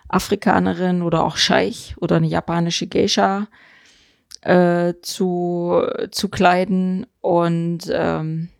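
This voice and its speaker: A woman's voice: fairly low pitch, vocal fry, darkish tone, no echo, studio quality. A woman in a casual opinionated discussion, hesitant and searching for her words as she goes.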